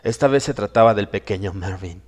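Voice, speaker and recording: A man narrating a horror audiobook in a dramatic, solemn and suspenseful manner, with deliberate phrasing. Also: fairly low pitch, darkish tone. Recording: long reverb, music behind. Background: music.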